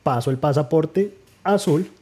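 A man's voice: a little high, measured pace, mellow, breathy edge. A man from New Jersey, a guest on a podcast, telling stories in casual talk and laughing often. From New Jersey, United States.

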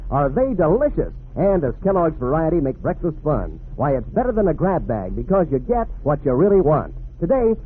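A man's voice: a little high, slight background noise. A man working as a 1940s radio announcer, selling to children in a folksy, enthusiastic way. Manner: folksy, peppy, enthusiastic salesmanship. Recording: narrow-band vintage radio recording.

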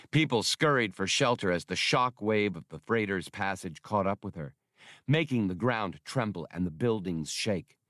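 Deep-voiced man narrating an adventure audiobook. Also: no room sound, studio quality. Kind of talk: scripted narration of a science fiction adventure novel.

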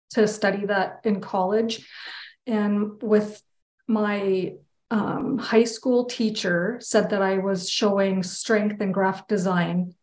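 A Canadian woman speaks as a guest in a casual, candid interview. Her voice sits at an average pitch, and the recording is noticeably roomy and echoey. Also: darkish tone. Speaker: interview guest, children's book illustrator.

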